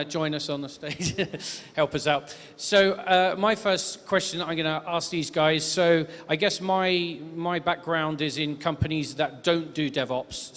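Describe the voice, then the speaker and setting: Male moderator, slightly high-pitched, chatty and hedging, long hall reverb. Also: a bit bright. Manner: chatty, hedging, lots of fillers. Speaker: panel moderator. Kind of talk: moderating a tech conference panel. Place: conference stage.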